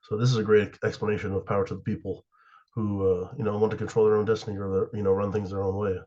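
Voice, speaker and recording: Sick raspy young man presenting. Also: on the low side, level tone, quick pace, dark tone, lo-fi sound. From USA. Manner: hesitant, many fillers. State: sick, slightly hoarse. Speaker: student presenter. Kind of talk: class presentation.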